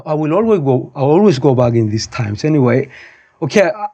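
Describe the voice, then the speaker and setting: A man's voice: on the high side, very animated, brisk, dark tone, dull audio. A man in his fifties with a Cypriot accent talking casually as an interview guest, opinionated and digressive, often repeating and rephrasing his points. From Cyprus. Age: 50s. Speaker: podcast guest, high school physics teacher.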